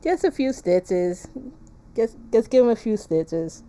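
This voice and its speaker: Mellow, unhurried woman streaming a game. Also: fairly high pitch, big pitch swings. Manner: playful, joking, crude humor. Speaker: livestreamer. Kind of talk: casual game-stream chat and reading aloud.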